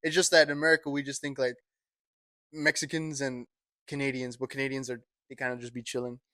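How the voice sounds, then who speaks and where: A man's voice: medium pitch, little pitch movement, fast talker, pushed and bright, no room sound. A young man co-hosting a podcast in casual chat, joking, swearing and laughing a lot. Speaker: podcast co-host.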